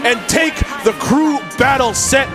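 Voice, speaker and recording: Hyped young guy casting a game tourney, kinda high voice, music in the back. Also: a little slow. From Toronto. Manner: energetic, joking, hypes plays. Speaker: esports commentator. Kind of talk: live tournament commentary.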